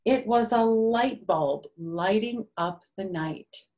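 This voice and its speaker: A woman's voice: medium pitch, slow pace, a little mellow. An older woman reading a picture book aloud to young children, with simple phrasing and little questions and asides to the listeners.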